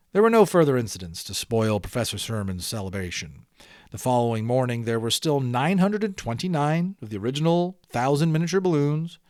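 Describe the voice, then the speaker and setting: A man's voice: mid-range voice, no room sound, studio quality. A man narrating a children's audiobook expressively, with dramatic emphasis and chatty asides to listeners.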